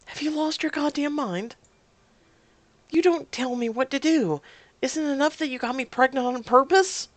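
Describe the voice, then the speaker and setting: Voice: medium pitch, lively intonation, moderate pace, vocal fry, hardly any pauses, fairly bright tone, no room sound, pitched above the performer's own voice. A narrator voicing a furious, hurt young woman, indignant and emphatic, in an audio drama. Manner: indignant, emphatic, emotionally volatile.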